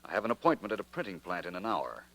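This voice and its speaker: A confident radio sleuth with a very low, bright, firm voice. He is unflappable and clipped, in vintage detective-drama style. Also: sing-song, rapid-fire, no room sound, heavily acted. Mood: calm, assured. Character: cool, confident male private detective, unflappable. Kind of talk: mid-century American radio detective drama acting.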